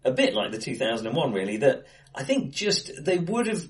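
A male podcast co-host talks films in a casual, conversational way, with a medium-pitched voice. He slips into quoted asides as he makes his points. The room around him sounds bright and reflective. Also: big pitch swings, brisk. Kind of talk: casual film discussion. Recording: acoustically bright, echoey room.

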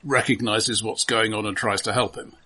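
A man's voice: on the low side, steady tone, normal speed, pushed and bright, breathy edge. A man in a conversational film discussion, explaining analytically and repeating words as he thinks. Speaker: podcast host.

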